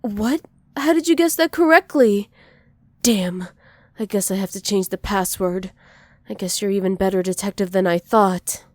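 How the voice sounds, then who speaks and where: A dominant, possessive villainess played by a woman in an ASMR roleplay. Her voice is dark and mellow at a middling pitch, recorded very dry. She speaks with soft, teasing menace, doting on her captive while making clear she is in charge. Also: moderate pace, no room sound, close to an everyday voice. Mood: startled, annoyed. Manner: soft, teasing menace with breathy whispered endearments.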